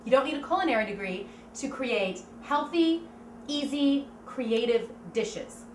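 A woman's voice: sits high, animated, unhurried. A woman in her thirties from Oklahoma, cheerfully talking a home cooking demo to camera in her kitchen. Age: 30s. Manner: chatty, bubbly, explains as she cooks. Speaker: home cook auditioning for a cooking show. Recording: echoey kitchen with faint room noise.

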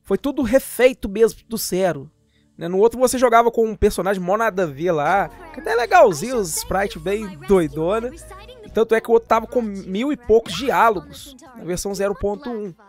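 A man's voice: high voice, expressive, fairly quick. A Brazilian man giving a casual, slangy, jokey voice-over as host of a gaming channel. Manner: chatty, jokey, informal. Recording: voice-over with music behind.

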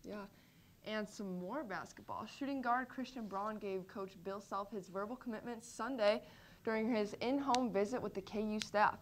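A woman's voice: mid-range voice, moderate pace. A young woman co-hosting a student morning news show in a campus TV studio, reading stories and chiming in upbeat.

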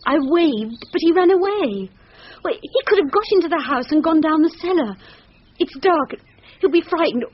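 An actress playing an anxious, insistent wife in a radio thriller, earnest and pleading. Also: female voice, high-pitched, expressive, normal speed, no echo. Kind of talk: thriller radio drama acting. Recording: dull, noisy radio drama recording.

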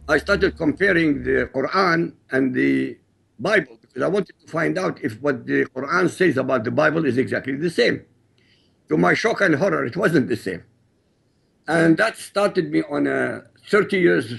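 A middle-aged man from Iraq, Arabic his first language, speaks in a studio with a rough, gravelly voice that turns breathy at the edges. His delivery is deliberate and emphatic, building each point like a prepared speech. His voice sits a little high for a man. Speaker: guest author.

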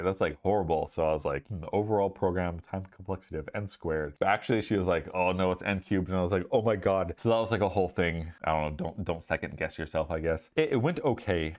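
Male, late 30s, low-pitched, casual vlog storyteller with a conversational delivery. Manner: conversational storytelling, often acting out quoted dialogue. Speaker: video host recounting a job interview. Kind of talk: casual anecdotal talk to camera. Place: small indoor office or meeting room. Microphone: lapel mic.

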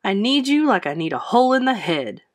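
A woman's voice: mid-range voice, very animated, brisk. A woman as a weary, strained schoolteacher wife. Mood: complaining. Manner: terse, strained.

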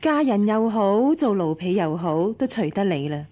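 A woman's voice: medium pitch, big pitch swings, moderate pace, warm tone. Radio drama stern wealthy matriarch: commanding, curt, dismissive woman. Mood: cold, dismissive. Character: stern, imperious first wife of a wealthy household.

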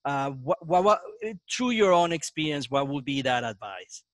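A man's voice: fairly high pitch, expressive, normal speed, no room sound. A man, hosting a casual video-call interview, rambling and restarting his questions. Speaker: podcast host. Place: home basement room with brick wall. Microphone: headset mic.

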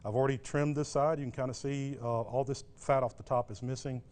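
A man's voice: mid-range voice, steady tone, a little fast, a little mellow. The middle-aged guy with an ordinary mid-range voice at the barbecue cook-off who casually shows you, step by step and in plain words, how he does it. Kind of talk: casual cooking demonstration. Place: TV studio kitchen set.

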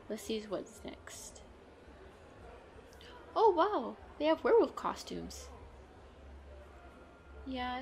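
Animated, playful girlfriend played by a woman. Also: mid-range voice, vocal fry, slight background noise. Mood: chatty, upbeat. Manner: bubbly, expressive, frequent giggles and exclamations. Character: playful, excitable, pleading girlfriend out costume shopping. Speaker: roleplay audio performer. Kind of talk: girlfriend roleplay audio acting, chatty and playful.

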